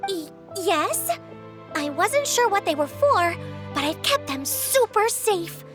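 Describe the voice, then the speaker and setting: A woman's voice: very high-pitched, big pitch swings, takes it slowly, over music, exaggerated voice. A woman voicing a timid, eager, sweet small child in a video game, with a hesitant, earnest manner. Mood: nervous, eager.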